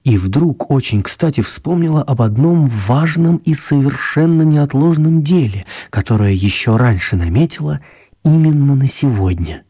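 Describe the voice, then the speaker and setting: A man narrating a children's radio story in a measured, expressive storytelling manner. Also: medium pitch, slightly rough.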